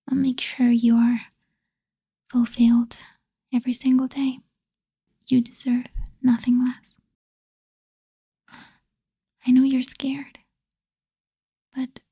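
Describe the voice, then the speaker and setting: A woman voicing a possessive, lovesick female vampire in an intimate, breathy ASMR roleplay whisper, pleading and tender yet menacing. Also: high voice, mellow, no room sound, exaggerated voice. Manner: slow, pleading, intimate delivery.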